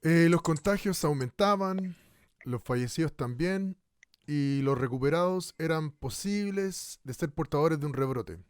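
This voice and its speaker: A man narrating a radio documentary in a measured, questioning way. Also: a little high, clear, resonant tone, dry recording, clean studio sound.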